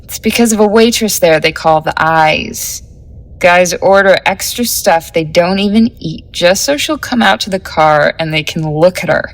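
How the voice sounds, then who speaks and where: A woman's voice: on the low side, normal speed, close to an everyday voice, low-quality recording. A young woman acting in an audio drama as a cool, scheming, slangy teenage girl in a 1950s gang, offhand and sardonic. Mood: gossipy, amused.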